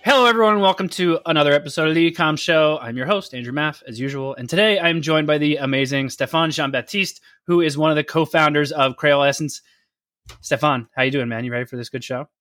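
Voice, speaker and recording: A man hosting an interview podcast, friendly and upbeat, introducing his guest and moving quickly into questions. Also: a little high, a bit bright. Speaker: podcast host.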